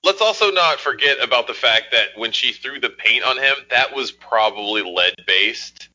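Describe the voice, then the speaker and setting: Man, podcast co-host, casual, filler words, very bright tone, animated pitch. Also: moderate pace, lo-fi sound. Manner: fumbling for words, lots of "like". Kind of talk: casual chat.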